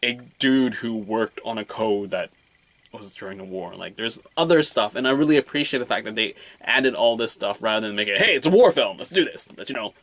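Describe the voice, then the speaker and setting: A man's voice: on the low side, expressive, brisk, a bit bright. A man reviewing a film in a casual, unscripted way, rambling colloquially and often repeating words and phrases.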